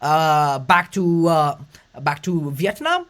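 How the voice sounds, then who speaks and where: High-voiced host, restarting. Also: male voice, very animated, a bit bright. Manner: fast, effortful talk with frequent fillers and restarts. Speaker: podcast host. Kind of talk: conversational interview.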